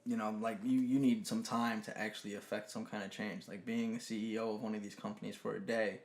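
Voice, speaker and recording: A man's voice: fairly low pitch, little pitch movement, a little fast, reverberant. A man co-hosting a casual gaming podcast, talking in a laid-back, profane way and laughing often. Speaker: podcast co-host.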